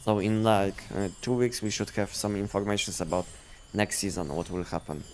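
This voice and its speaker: Male game streamer, lowish voice, casual halting delivery, faint background noise. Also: darkish tone. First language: not English. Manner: halting, repetitive, filler-heavy explanations. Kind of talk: casual livestream chat.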